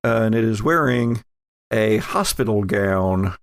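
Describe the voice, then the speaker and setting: A man runs a horror tabletop game, narrating in a low, gravelly voice. He takes his time, halting mid-phrase as he builds each scene, and sounds close and dry. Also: no room sound. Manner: halting, pausing mid-phrase to find words. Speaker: game master.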